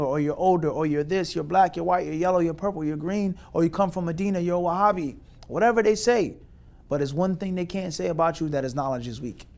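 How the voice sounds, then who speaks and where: A man from the United States with a somewhat high voice gives an emphatic lecture of advice as a mufti. He builds his points in long rhetorical lists and mixes in Arabic religious terms. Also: a little mellow. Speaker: mufti giving advice to graduates.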